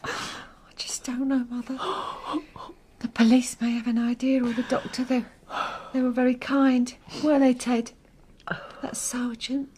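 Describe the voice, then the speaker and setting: A woman acting a distraught young working-class wife from in a radio play. Her voice sits high and moves slowly, with a submissive, pleading, emotional delivery. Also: flat delivery, dark tone, slight background noise, lightly acted. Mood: grieving, subdued.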